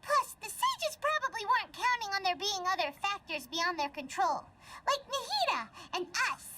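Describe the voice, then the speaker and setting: A woman voicing a small, earnest, excitable childlike fairy companion in a video game cutscene. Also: very high-pitched, normal speed, far from the mic, heavy background noise. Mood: pondering. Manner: refers to self in third person, childlike. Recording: game audio with loud music behind.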